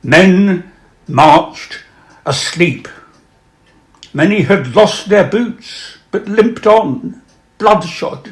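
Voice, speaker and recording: A man presenting and reading literature aloud, with a measured, dramatic delivery when reciting. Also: high-pitched, big pitch swings, vocal fry. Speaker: reader and presenter of poems and prose. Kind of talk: literary recital with spoken introductions.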